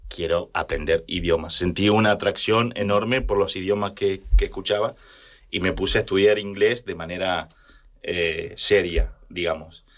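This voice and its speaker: A man from rural Argentina with an Argentine accent, telling personal stories casually and haltingly as he searches for words. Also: low voice, fairly even intonation, a bit bright. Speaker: interview guest.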